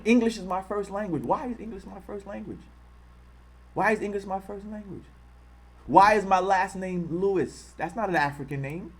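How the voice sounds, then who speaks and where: Fervent American male host, livestream, fairly high-pitched voice, fires off repeated rhetorical questions. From United States. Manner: impassioned, repetitive rhetorical questions; greets and thanks live viewers in a softer tone. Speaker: online channel host. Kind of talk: live-streamed commentary.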